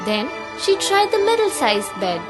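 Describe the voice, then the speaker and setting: A woman narrating a scripted children's fairy tale as a storyteller, in a deliberate, expressive way. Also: high voice, over music.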